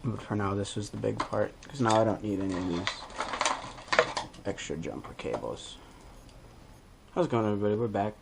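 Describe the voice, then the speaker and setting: A man's voice: lowish voice, normal speed, creaky, long pauses, very noisy. A man hosting a DIY video, talking through a hands-on tutorial in a casual, informal way as he thinks aloud.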